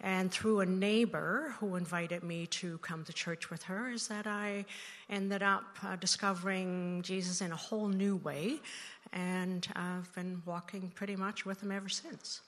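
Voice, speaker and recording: A woman in her sixties, raised in the Netherlands, speaks in a somewhat low voice as she tells her faith story, pausing often on fillers. Her handheld mic picks her up in a church sanctuary, and a long reverb trails each phrase. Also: steady tone, a bit bright. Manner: thoughtful, halting, many fillers. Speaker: interview guest, church member. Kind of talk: personal testimony in church interview.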